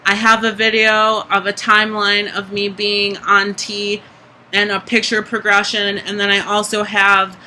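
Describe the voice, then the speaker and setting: A young adult vlogger with a high speaking voice, giving a candid, chatty personal update full of "like" and "um". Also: monotone, unhurried, bright, forceful tone, a little hiss or hum, rough recording. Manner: candid, chatty, frequent "like" and "um" fillers. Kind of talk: casual personal vlog update.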